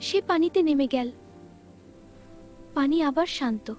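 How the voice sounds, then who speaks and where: Woman voicing high, animated cartoon youngster. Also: takes it slowly. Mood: wondering. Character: curious young children and gentle mermaid in cartoon. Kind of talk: scripted children's cartoon dialogue. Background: music.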